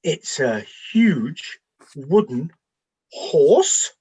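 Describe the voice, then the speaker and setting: Comedy radio play: actor as down-to-earth ancient sentry, husky mid-pitched voice, lively intonation, conversational delivery. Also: extremely slow, heavily acted. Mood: puzzled. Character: bored, observant, matter-of-fact Trojan night-watch soldier in a spoof. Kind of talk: comic radio play acting.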